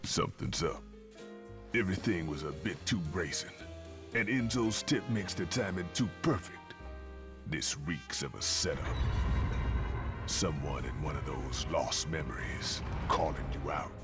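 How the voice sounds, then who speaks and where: A man playing a gruff, world-weary, streetwise bar owner and weapons dealer in a video game cutscene, with a cool drawl. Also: very deep voice, unhurried. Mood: grim warning. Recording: loud music behind the voice. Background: music.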